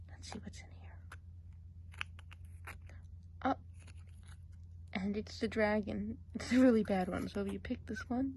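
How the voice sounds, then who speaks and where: A woman playing a gossipy witch of the woods in an ASMR role play. She slips between a soft speaking voice and a whisper, and she slows right down to give careful instructions. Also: mid-range voice, rough recording.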